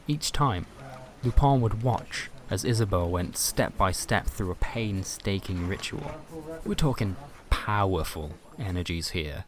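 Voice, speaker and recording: A man narrating a scripted fantasy story in a measured, dramatic way with wry asides. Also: lowish voice. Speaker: storyteller, narrator of a fiction podcast.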